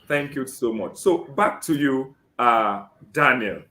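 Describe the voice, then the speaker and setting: A Nigerian man hosting a live job seeker interview, often saying "great" and filling pauses with "um". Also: mid-range voice, animated, pushed and bright, reverberant. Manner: keeps saying "great" and fills with "um".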